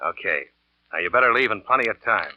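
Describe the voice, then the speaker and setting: An actor playing a cool, methodical murder plotter in a terse 1940s radio noir style. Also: male voice, fairly low pitch, lively intonation, fast talker, close to an everyday voice. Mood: cool, commanding. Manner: terse, controlled. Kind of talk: 1940s radio noir acting, hard-boiled. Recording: dull, hissy vintage radio recording.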